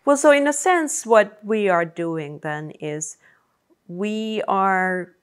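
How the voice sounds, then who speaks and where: A woman hosting an interview, asking measured questions and rephrasing them as she goes. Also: mid-range voice, lively intonation, clean tone. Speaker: podcast host.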